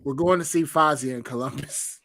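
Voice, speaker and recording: A man's voice: on the high side, brisk, a bit bright, no room sound. A man co-hosting a podcast in casual chat, insisting and repeating himself. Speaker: podcast co-host.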